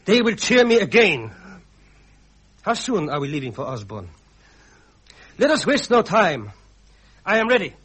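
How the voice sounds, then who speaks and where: An actor playing an arrogant, ambitious younger Prussian royal with a husky voice and grandiose, clipped manner in a radio drama. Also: male voice, high voice, very animated, measured pace, long pauses, a bit bright, full character voice. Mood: boastful, eager. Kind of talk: British radio drama acting.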